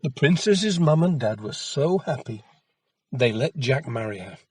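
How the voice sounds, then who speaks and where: Male folktale narrator for children: measured, expressive, husky, recorded close and dry. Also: mid-range voice, dry recording. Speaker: storyteller.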